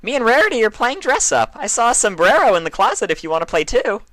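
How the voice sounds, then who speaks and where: A man's voice: extremely high pitch, very animated, fast, a bit bright, no echo, pitched above the performer's own voice, heavily acted. A man voicing a hyper, bubbly, childlike female party pony, gushing and bouncy, for a story reading. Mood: giddy.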